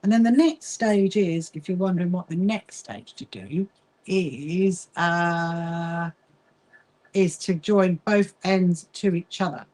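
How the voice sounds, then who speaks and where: Brief: woman host, Kentish-Sussex accent, lowish voice, relaxed chatty livestream talk, greets viewers as she sews. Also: slow pace. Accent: Kentish mixed with Sussex. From south coast of England. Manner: chatty, greets viewers and answers their comments. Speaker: livestream host of a quilting association. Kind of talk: casual livestream chat while sewing.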